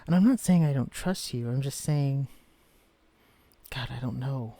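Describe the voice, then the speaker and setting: A man's voice: medium pitch, unhurried, dry recording. A man playing a tender, guarded shrunken man. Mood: tender, earnest. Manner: earnest, vulnerable, softly confessional. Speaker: romantic lead in an audio roleplay. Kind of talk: scripted listener-directed audio drama.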